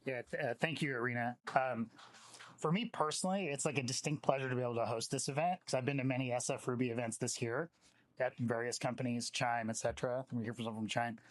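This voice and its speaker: A man's voice: medium pitch, steady tone, fast talker, no room sound. A man giving an informal welcome speech as a company representative, conversational and peppered with "like". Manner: conversational, frequent filler "like".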